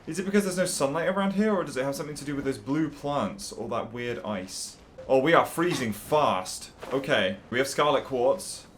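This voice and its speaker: A man's voice: medium pitch, moderate pace, a little hiss or hum. A young man giving casual, chatty gameplay commentary, playfully reacting aloud as he goes. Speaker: gaming video creator.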